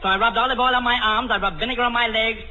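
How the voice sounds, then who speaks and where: A man's voice: very high-pitched, steady tone, rapid-fire, bright, forceful tone, exaggerated voice. An actor playing a silly, self-satisfied, flirtatious man with a pompous, gushing manner in 1940s radio comedy. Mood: pompous, pleased. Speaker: comic panelist on a radio quiz spoof. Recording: echoing, noisy, muffled old radio broadcast.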